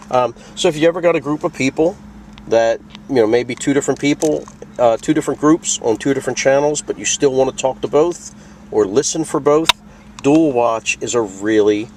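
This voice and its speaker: A man's voice: medium pitch, expressive, moderate pace, darkish tone, slight background noise. A man presenting a product tutorial in a casual, plain-spoken, conversational way, walking through things step by step. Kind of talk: casual instructional walkthrough.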